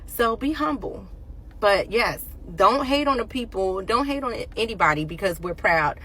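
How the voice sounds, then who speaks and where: A woman's voice: medium pitch, animated, normal speed, sharp tone, slightly rough, low-quality recording. A woman of fifty talking casually and emphatically into her phone, defending people who share their age. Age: 50s. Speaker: commentator. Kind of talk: casual opinion rant.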